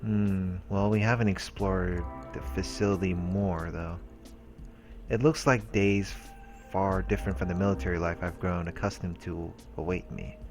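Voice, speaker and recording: A man with a low voice reads a visual novel's text aloud and comments casually as a let's play host. Game music is clearly audible behind him. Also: fairly even intonation, moderate pace.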